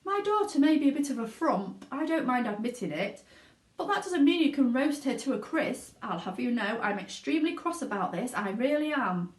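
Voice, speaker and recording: A woman's voice: high voice, big pitch swings, normal speed, voice pushed up. A woman reading a children's storybook, voicing an indignant, blustering middle-aged father who speaks in a cross, formal way. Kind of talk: children's storybook read aloud. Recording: echoey room.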